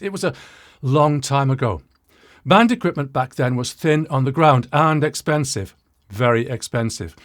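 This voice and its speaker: A British man in his sixties telling a story to viewers as a presenter, in a wry, self-deprecating way with dramatic pauses and asides. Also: mid-range voice. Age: 60s. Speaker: presenter of an audio channel.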